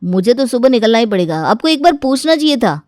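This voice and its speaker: A woman's voice: a little high, big pitch swings, fast talker, darkish tone, dry recording, studio quality. A woman voicing a reluctant, busy younger adult son who pleads and protests in a moral story. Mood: pleading protest. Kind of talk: acted dialogue in a moral story.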